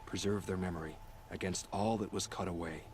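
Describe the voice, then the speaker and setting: A solemn tribesman from a video game, played by a man, honours his people in formal speech. His voice is deep and grave. There is faint ambience behind it. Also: fairly even intonation, brisk, a little hiss or hum, close to an everyday voice. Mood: solemn, grateful.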